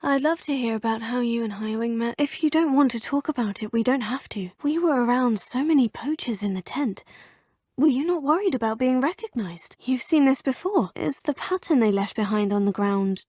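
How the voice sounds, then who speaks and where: A woman's voice: on the high side, expressive, normal speed, a little mellow, no echo. A polite young witch student. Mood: calm. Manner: courteous, composed, formal. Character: polite, determined young female wizarding student. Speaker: player character voice. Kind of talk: video game dialogue lines. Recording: dry studio booth.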